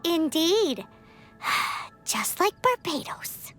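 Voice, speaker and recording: A tiny fairy sidekick in a video game with an extremely high, squeaky child's voice. The delivery is bright and bouncy, with swooping pitch. She is chirpy and sassy, calling herself by name. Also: sing-song, very slow, soft background music, exaggerated voice. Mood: skeptical, grudging. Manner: speaks of self in third person.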